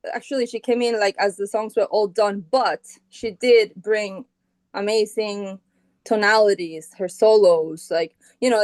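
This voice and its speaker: A woman's voice: medium pitch, a little mellow, no echo, low-quality recording. A middle-aged woman from Colombia, a founding member of a band, chatting casually in an interview and thinking aloud with plenty of fillers and restarts.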